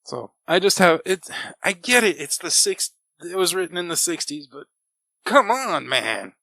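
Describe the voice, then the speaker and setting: A man's voice: sits high, big pitch swings, moderate pace, sharp tone, no echo. A man co-hosting a podcast, retelling and reviewing casually with some swearing. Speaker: podcast co-host.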